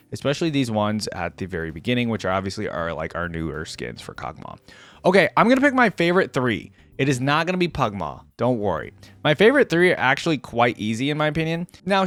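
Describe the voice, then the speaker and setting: A man hosting a casual, unscripted reaction video, commenting in a halting, conversational way as he thinks aloud. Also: medium pitch, a bit bright, no room sound. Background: background music.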